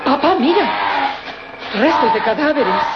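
Earnest daughter, muffled radio sound. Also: female voice, on the high side, animated, slow, creaky, heavily acted. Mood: horrified. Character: dismayed, earnest daughter of a professor. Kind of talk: old radio drama acting. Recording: muffled old radio drama recording, faint hiss.

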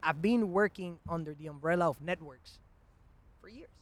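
High-voiced enthusiastic man co-hosting. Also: normal speed. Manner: enthusiastic, admiring, animated storyteller. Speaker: podcast co-host and voiceover artist. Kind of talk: casual podcast chat.

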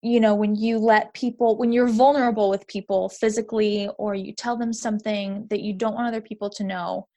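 A woman's voice: medium pitch, fairly even intonation, fairly quick, hardly any pauses, dark tone. A young adult woman, a podcast guest, talking earnestly and filling her speech with "like". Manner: earnest, uses "like" a lot. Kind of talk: casual podcast interview.

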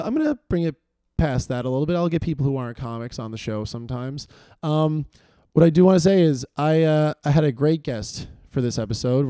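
A hoarse man hosts his podcast, rambling and self-deprecating with lots of fillers. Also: fairly high pitch, mellow, no echo. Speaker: podcast host. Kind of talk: casual solo intro monologue.

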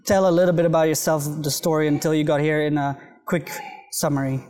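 A woman's voice: deep voice, fairly even intonation, fast, warm tone. A woman hosting a podcast outdoors at a villa, friendly and prompting her guest with questions and fillers. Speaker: podcast host. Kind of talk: casual interview chat.

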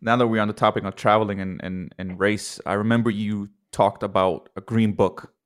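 A man interviewing casually as a podcast host, halting and repeating words as he forms his questions. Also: lowish voice, steady tone.

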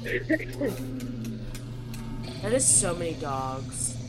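Woman, very unhurried, animated intonation, dry humor, casual reaction chat, soundtrack in background. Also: low-pitched, over loud music. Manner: dry, joking. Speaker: reaction show co-host. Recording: film soundtrack playing behind.

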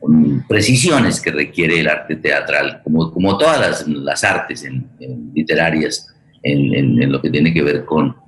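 Guy hosting, really deep voice, echoey, kinda formal, keeps repeating words as he goes. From Colombia. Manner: halting, repeats words as he searches for phrasing. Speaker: host introducing a guest at a theatre forum. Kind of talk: spoken introduction, formal and improvised. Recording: echoey room sound.

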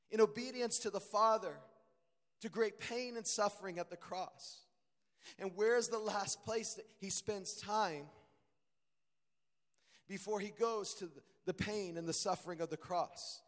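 A man preaching a sermon, his voice hoarse and strained, earnest and rising to emphatic peaks. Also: high-pitched. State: strained voice, deeper than usual. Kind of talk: sermon reading and expounding scripture. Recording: long hall reverb.

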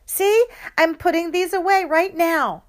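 A woman's voice: medium pitch, little pitch movement, moderate pace, darkish tone, smooth, lightly acted. A woman voicing an eager young girl who earnestly pleads for a dog in a storybook reading. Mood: pleading.

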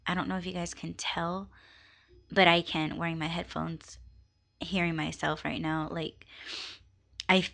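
A young woman hosting her own podcast voice blog, talking casually and haltingly with many fillers, her voice raspy and weak from being sick. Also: lowish voice, level tone, fairly bright tone. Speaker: podcast host.

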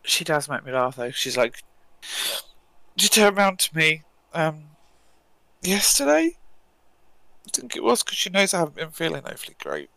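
A woman in her forties trading stories with her co-host on a casual podcast. Her voice is very bright and swoops up and down a lot, and the sound is dry and close. She is playful, laughs easily and swears without a second thought. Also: low-pitched, big pitch swings, normal speed, vocal fry, long pauses, no echo, poor audio. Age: 40s. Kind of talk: casual chat.

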